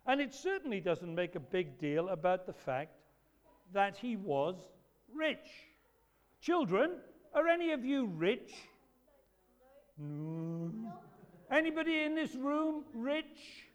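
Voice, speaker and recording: A man with a loud voice, preaching an animated talk to children at a church service. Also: sits high. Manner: lively, emphatic, storytelling to children. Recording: echoey hall reverb.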